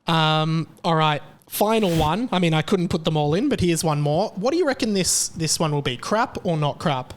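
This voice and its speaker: A man's voice: on the high side, animated, normal speed, few pauses. A young man hosting his own podcast, chatting casually with sarcasm and frequent laughter. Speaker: podcast host. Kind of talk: casual solo chat.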